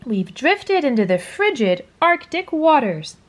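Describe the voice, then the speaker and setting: A woman's voice: high-pitched, very animated, a little slow, voice pushed up. A woman voicing a bossy, indignant old male grasshopper in a barking, accusatory manner for a storybook.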